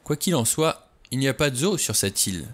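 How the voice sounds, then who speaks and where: A man's voice: mid-range voice, lively intonation, a little fast, a bit bright, voice pushed up, natural voice. A man reading an audiobook, voicing a stubborn, suspicious middle-aged man who talks bluntly. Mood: gruff.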